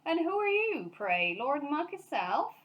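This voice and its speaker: A woman's voice: sits high, very animated, a little slow, a bit bright, pitched above the performer's own voice, full character voice. An officious uniformed gatekeeper from a children's storybook, acted by a woman. He is stern and sneering, his sarcasm swinging up and down with dismissive relish. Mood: sarcastic.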